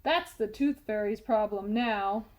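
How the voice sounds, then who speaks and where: A woman's voice: mid-range voice, a little slow, pitched below the performer's own voice, lightly acted. A woman voicing a stubborn, strong-willed young boy in a storybook, firm and defiant. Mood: smug.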